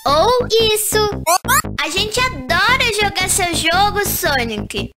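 A very high, squeaky little cartoon creature, silly and childlike. The delivery is excitable and dim-witted, drawn out and bouncing in pitch over loud music. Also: takes it slowly, full character voice. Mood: excited.

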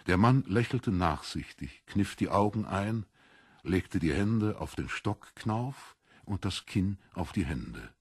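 A man's voice: low voice, animated, slow, husky, dry recording, studio quality. A man reading scripted literary narration for a German audio drama.

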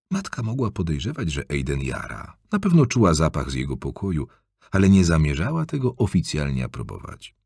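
A man reading an audiobook aloud in an expressive, varied storytelling manner, close to a studio mic. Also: low voice, breathy edge, no echo. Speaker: audiobook narrator. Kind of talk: scripted audiobook narration.

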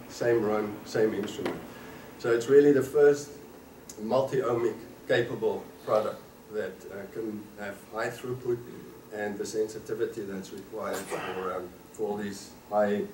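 A middle-aged man presenting his startup's product at a conference panel, clearing his throat often between filler sounds. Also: on the low side, darkish tone. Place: indoor conference room. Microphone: podium microphone. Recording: roomy hall, mic from a distance, faint hum.

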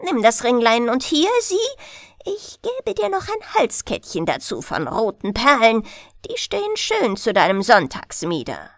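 A woman's voice: fairly high pitch, wildly swooping pitch, measured pace, a bit bright, no echo, clean studio sound, full character voice. Woman putting on a wheedling, greedy old forest crone. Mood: cackling, wheedling. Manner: coaxing, cackling.

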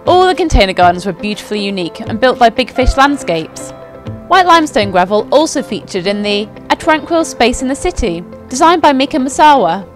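A woman's voice: a little high, very animated, brisk, hardly any pauses, faint music behind. A young woman giving an upbeat, scripted voice-over narration for a company video. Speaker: company presenter. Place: outdoors at a flower show.

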